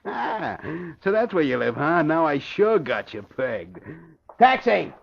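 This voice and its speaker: A man's voice: on the high side, big pitch swings, slow, creaky, exaggerated voice. An actor playing a vain, brash racketeer with swaggering, slangy tough-guy talk in 1940s radio style. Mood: smug, bossy. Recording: muffled old radio recording, crowd noise.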